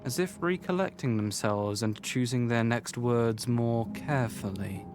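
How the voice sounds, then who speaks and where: A man reading scripted literary narration for a visual novel in a measured, formal storytelling manner. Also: medium pitch, music in the background.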